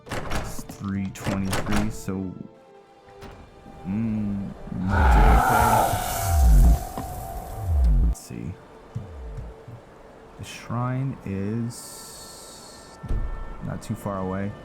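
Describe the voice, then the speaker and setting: Very deep-voiced, unhurried male gamer. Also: animated, creaky. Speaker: gamer, streamer. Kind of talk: casual game roleplay commentary. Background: loud game music.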